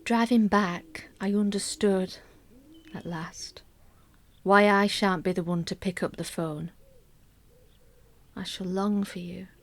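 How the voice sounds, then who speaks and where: A wistful middle-aged woman in a radio play, quietly confiding a secret sorrow. Her delivery is unhurried and measured, at a medium pitch, often falling away to a near-whisper. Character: middle-aged wife and mother, wistful, secretly grieving a love affair. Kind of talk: intimate dramatic monologue, scripted radio play narration.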